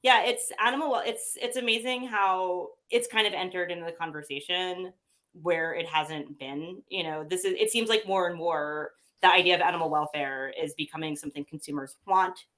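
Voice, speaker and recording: A woman's voice: fairly low pitch, moderate pace, a bit bright. A woman talking casually about how her company sources its meat, chatty, with many fillers and restarts, a bit sniffly. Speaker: pet food company representative. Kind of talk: casual conversational interview.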